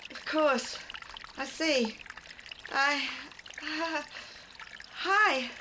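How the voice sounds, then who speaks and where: A woman voicing a gentle, curious nonhuman young woman in an ASMR audio drama, speaking in soft, breathy questions. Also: high voice, background noise. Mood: shy, delighted. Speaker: voice actor. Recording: roomy echo, loud trickling water. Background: water trickling and pouring.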